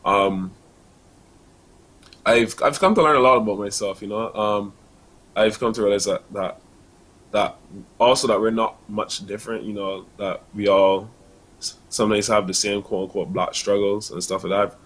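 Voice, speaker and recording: Young Barbadian man, low voice. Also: fairly even intonation, normal speed, long pauses, fairly bright tone, rough recording. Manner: earnest, many fillers and restarts. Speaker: university student guest. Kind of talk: casual interview answers.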